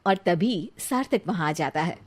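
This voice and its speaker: A woman's voice: fairly low pitch, expressive, moderate pace. A woman reading scripted narration for a cartoon family story.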